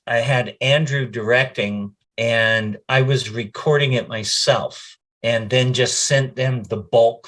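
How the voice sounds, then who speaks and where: Slow-paced middle-aged man chatting. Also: mid-range voice, fairly bright tone. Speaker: voice actor being interviewed. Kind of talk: casual anecdotal interview chat.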